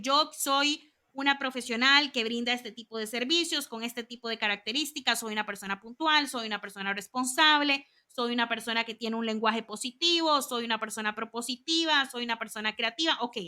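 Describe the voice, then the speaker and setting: Costa Rican woman, 30s, interview guest; high and bright, chatty and animated. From Costa Rica. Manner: animated, chatty storytelling with lots of asides. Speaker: guest, communications consultant.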